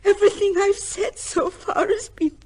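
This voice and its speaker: A woman's voice: very high-pitched, fairly even intonation, moderate pace, darkish tone. An actress playing a timid, deferential young working woman in an old-time radio drama, speaking hesitantly with stammers. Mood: tearful.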